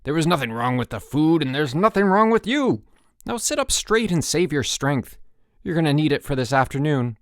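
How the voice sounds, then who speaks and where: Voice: medium pitch, huge pitch swings, normal speed, a little mellow, no room sound, studio quality, pitched above the performer's own voice. A narrator voicing an exasperated, sarcastic young male camp counselor in a storybook reading, worked up and growly. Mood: stern, growling.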